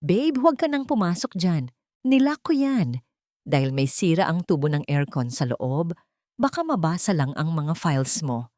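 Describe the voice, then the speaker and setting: A woman voicing a haughty, cruel young fiancée in an audio story, shrill and scornful as she barks orders. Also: sing-song, moderate pace, warm tone, no echo, clean studio sound. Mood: sweetly manipulative.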